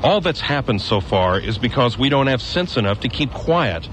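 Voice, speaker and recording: An actor playing a hardened former guardsman who survives alone in a ruined town, gruff and matter-of-fact, lecturing with bitter conviction. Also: medium pitch, sing-song, normal speed, fairly bright tone, a little hiss or hum, rough recording. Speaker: stranger with a knife. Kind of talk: local radio drama.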